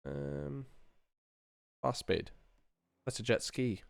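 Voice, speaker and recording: A man's voice: medium pitch, drawn-out words, gravelly, dry recording, studio quality. A middle-aged man casually thinking aloud to stream viewers as he works, muttering in short fragments. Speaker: sound designer live-streaming his editing work. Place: small room indoors.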